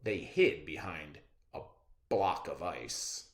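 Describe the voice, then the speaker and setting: A reader narrating a children's story aloud in a theatrical, expressive style. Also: very deep voice, slow pace, echoey room.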